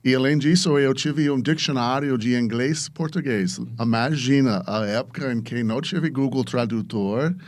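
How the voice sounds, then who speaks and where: A man's voice: medium pitch, normal speed, few pauses. An American man, a native English speaker, talking about his experience in Portuguese as a guest. He is hesitant, searching for words and restarting often. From United States. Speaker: guest, venture capitalist. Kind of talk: casual podcast interview.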